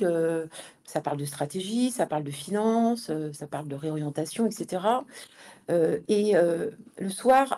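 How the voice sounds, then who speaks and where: A woman being interviewed as a guest, speaking conversationally and haltingly, often repeating words as she builds her answers. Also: fairly low pitch, dark tone. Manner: hesitant, halting delivery with many repeated words. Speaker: interview guest, founder and manager of an event venue.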